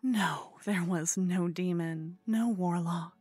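Woman doing a cute obsessed yandere girl for asmr, slow breathy cooing, super close and dry. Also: fairly low pitch, darkish tone, dry recording, soft background music. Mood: soothing, sinister. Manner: breathy, coy, cooing, often whispering. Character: cute, obsessed yandere con woman, gleefully scheming and clingy. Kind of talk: ASMR roleplay.

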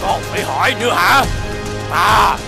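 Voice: medium pitch, lively intonation, normal speed, vocal fry. A performer voicing a boastful, menacing germ monster who calls itself lord of the gut in a children's cartoon. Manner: loud, menacing, taunting. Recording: loud music under the voice.

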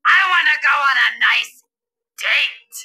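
A woman voicing a grumpy, lovesick older school lunch lady in a video game, brash and coarse, with a loud, nasal, cackling voice. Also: high-pitched, slow, heavily acted. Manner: brash, coarse, swooning over a teacher. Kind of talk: video game cutscene acting.

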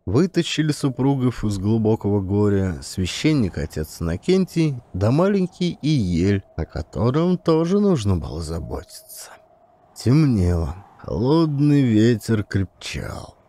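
A man's voice: lowish voice, big pitch swings, a little slow, dark tone. A man giving a dramatic scripted narration of an audiobook as a storyteller.

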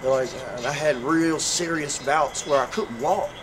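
A man with a slightly high voice talks earnestly and a little preachily, telling his story and leaning on "you know" again and again. Traffic and street noise run clearly under the recording. It sounds like a street interview recorded outdoors. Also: moderate pace.

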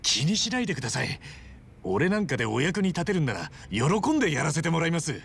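An actor playing an earnest, hot-tempered former yakuza hero in a video game, with rough but decent speech. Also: male voice, fairly high pitch, big pitch swings, quick pace, a bit bright, exaggerated voice. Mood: humble, polite. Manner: rough slangy speech, polite to workmates. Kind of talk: dramatic video game voice acting.

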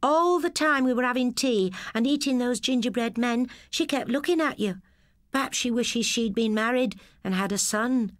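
A woman's voice: on the high side, moderate pace, dry recording, clean studio sound, pitched above the performer's own voice, close to an everyday voice. A woman voicing an earnest, anxious young girl in an audiobook story. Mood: confiding.

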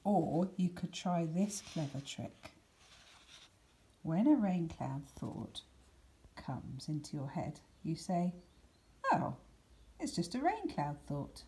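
A woman reading a children's picture book aloud, with deliberate, expressive emphasis and some hushed words. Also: fairly low pitch, background noise. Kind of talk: expressive scripted storybook narration for children.

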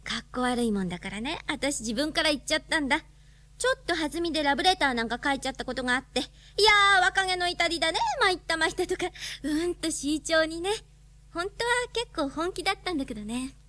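High-pitched, earnest young heroine. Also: female voice, expressive, fairly quick, bright, forceful tone. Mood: sheepish, confiding. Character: bright, thoughtful young heroine of a sci-fi comedy. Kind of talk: Japanese radio drama acting.

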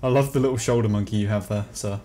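A man's voice: fairly low pitch, fairly even intonation, fast talker, mellow. A man hosting a group roleplay game, switching between commentary to viewers and a playful, theatrically polite in-character voice. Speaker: roleplaying gamer and channel host.

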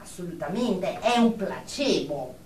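A woman's voice: on the low side, expressive, unhurried, darkish tone. An actress playing a brusque, pedantic female psychiatric clinician in a radio drama, officious and coldly judgmental. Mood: dismissive. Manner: clinical, officious, jargon-laden. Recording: distant, echoey room with faint noise.

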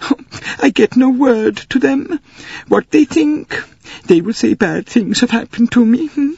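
Weepy Swedish lady, high and soft, halting broken English, played by a man. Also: lively intonation, creaky, breathy edge, no room sound, lo-fi sound, heavily acted. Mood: tearful. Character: tearful middle-aged Swedish lady.

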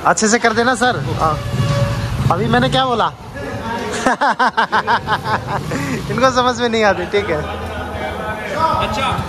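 A man's voice: sits high, takes it slowly, pauses often, crowd noise, poor audio. A man in his thirties, a motorcycle travel vlogger, chatting casually to his camera while riding and chuckling often. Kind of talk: casual travel vlog narration. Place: outdoors on a busy highway and at a roadside workshop. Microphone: helmet or bike-mounted action camera. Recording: loud traffic and engine noise. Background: road traffic and vehicles.

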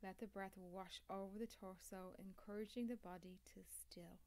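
A woman leading a guided meditation as a yoga nidra teacher, calm and soothing, with measured phrases, long pauses and a soft voice that turns breathy at phrase ends. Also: mid-range voice. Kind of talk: guided meditation, scripted instruction.

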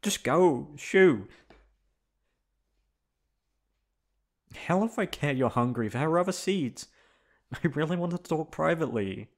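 A man's voice: fairly high pitch, lively intonation. A man acting in an ASMR roleplay as a flustered, dominant young best friend, hesitant at times and blunt at others. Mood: annoyed, bossy. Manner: hesitant with pauses, turning bossy and blunt. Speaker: ASMR voice actor.

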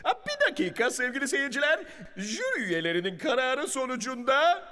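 High, swooping, bombastic cartoon announcer. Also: male voice, very animated, a little fast, heavily acted. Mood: solemn announcing. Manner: theatrical, booming showman delivery. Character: bombastic male contest announcer and showman. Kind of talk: exaggerated cartoon stage announcing. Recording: loud music behind the voice.

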